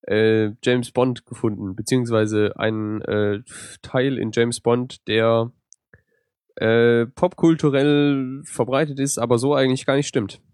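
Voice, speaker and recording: Guy with a cold rambling on a podcast, mid pitch, dry sound. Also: a little slow, dry recording. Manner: relaxed, rambling with fillers. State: has a cold. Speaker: podcast co-host. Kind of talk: casual podcast chat.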